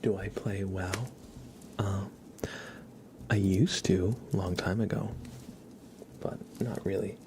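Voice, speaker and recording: A man hosting an ASMR livestream, chatting to viewers in a breathy whisper mixed with soft, creaky speech, gentle, unhurried and playful. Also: low-pitched, little pitch movement, noisy background.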